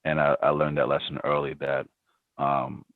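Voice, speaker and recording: A man's voice: extremely low pitch, level tone, moderate pace, no echo. A man in his thirties, a startup founder, answering interview questions reflectively, with many ums and restarts. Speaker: podcast guest, startup founder. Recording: dry, phone-like remote line.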